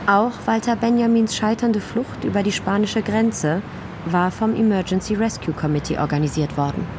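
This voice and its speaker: Woman, mid-pitched, scripted radio documentary narrator, urban traffic ambience behind. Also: normal speed. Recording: outdoor city noise behind narration.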